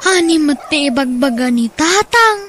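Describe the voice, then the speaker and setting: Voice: sits high, lively intonation, normal speed, fairly bright tone, slight background noise. A performer playing a small, innocent, whiny child with an Ilocano accent in a radio drama. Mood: curious, innocent.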